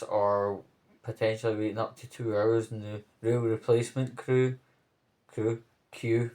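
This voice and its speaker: A man giving off-the-cuff spoken commentary on local news, rambling, pausing mid-phrase and checking in with the listener. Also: lowish voice, a little mellow.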